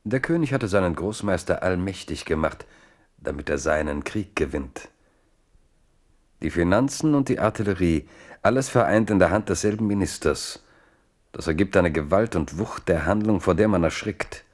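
A man playing the measured, serious narrator of a historical radio play. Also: deep voice, expressive, a little mellow.

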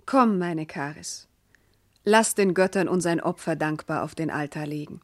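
A woman's voice: deep voice, moderate pace, no echo, studio quality. A woman playing a devout, tender noble wife in a classical verse radio drama, speaking with solemn declamation. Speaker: actor.

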